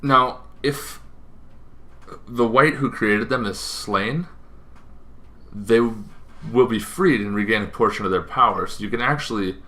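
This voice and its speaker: A man's voice: on the low side, a little slow, long pauses, sharp tone. A man hosting an informal explainer, talking in a rambling way with asides and self-corrections. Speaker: host of a tabletop gaming show.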